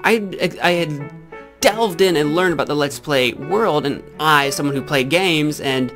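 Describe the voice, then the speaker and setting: A man's voice: fairly high pitch, unhurried, a bit bright, faint music behind. A man in his twenties with a Midwestern American accent, chatting casually to camera as a channel host in a rambling, self-correcting way. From Illinois, United States. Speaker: YouTube gaming channel host. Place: small indoor room with green screen backdrop. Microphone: desk microphone with pop filter, close.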